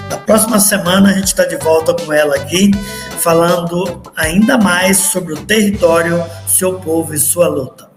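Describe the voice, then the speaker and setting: A man hosting a radio program, asking slow, deliberate interview questions. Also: a little high. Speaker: radio program host and professor. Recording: echoey radio studio, long reverb, faint music.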